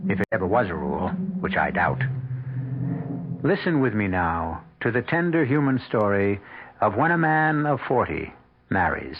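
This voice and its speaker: Wry male radio drama host. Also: medium pitch. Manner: wry, confiding. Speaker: host and narrator. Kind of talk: dry radio drama introduction. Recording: dull radio broadcast with faint hiss.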